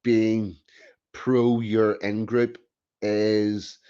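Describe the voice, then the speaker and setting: Slow, blunt man in lo-fi audio. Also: lowish voice, little pitch movement, mellow, smooth. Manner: blunt, opinionated, frequent restarts. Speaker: host. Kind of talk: casual chat. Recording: dull lo-fi sound.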